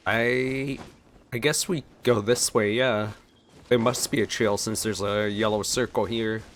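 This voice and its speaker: A man's voice: fairly low pitch, fairly even intonation, a little slow, a bit bright, slight background noise. A young man giving casual, easygoing let's play commentary with a playful, sarcastic touch.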